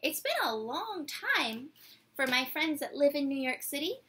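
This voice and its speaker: A woman in her twenties doing an expressive, theatrical picture-book read-aloud for children, drawing out words and putting questions to her young listeners. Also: high voice, unhurried. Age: 20s. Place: small decorated room indoors.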